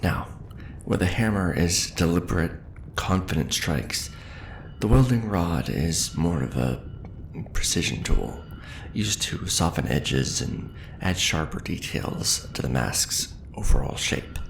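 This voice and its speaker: A man doing a soft-spoken ASMR roleplay as a veteran craftsman, patiently and encouragingly instructing an apprentice in measured phrases. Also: low-pitched, animated. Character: veteran mask maker patiently training a new apprentice. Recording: roomy echo with clear background noise.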